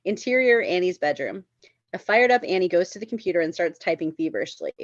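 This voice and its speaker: A woman's voice: lowish voice, animated, fast, no room sound, lo-fi sound. A woman reading stage directions at a live script table read, informal and lightly amused.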